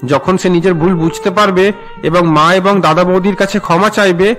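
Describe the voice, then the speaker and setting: A man's voice: sits high, steady tone, brisk, a bit bright, faint music behind. A man voicing a stern but caring husband and father in a cartoon melodrama, earnest and admonishing. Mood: firm, earnest.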